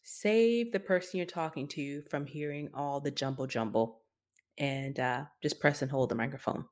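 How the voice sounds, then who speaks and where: A woman's voice: sits low, moderate pace. A woman co-hosting a podcast in casual conversation, speaking informally and stressing her points by repetition. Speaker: podcast co-host.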